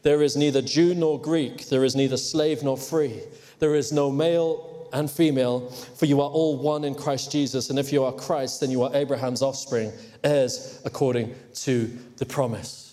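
A man's voice: medium pitch, steady tone, normal speed, warm tone. A man from Hong Kong preaching a sermon emphatically, teasing his congregation at times. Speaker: pastor. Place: church. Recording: long hall reverb.

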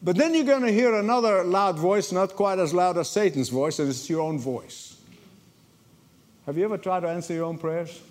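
A man from Egypt, preaching a sermon to a congregation in lively storytelling, often repeating words as he starts a sentence. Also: sits high, moderate pace. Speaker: pastor. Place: church before a congregation.

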